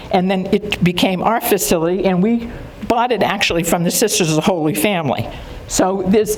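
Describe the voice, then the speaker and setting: An older woman giving a conference talk, recalling her early career in an unhurried way with pauses. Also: mid-range voice, darkish tone, a little hiss or hum, low-quality recording. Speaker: conference speaker. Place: conference hall.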